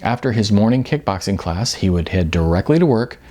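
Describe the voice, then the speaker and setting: Guy reading an audiobook novel, kinda wry n chatty, really animated intonation. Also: on the low side, a little fast. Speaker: narrator. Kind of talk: audiobook fiction narration.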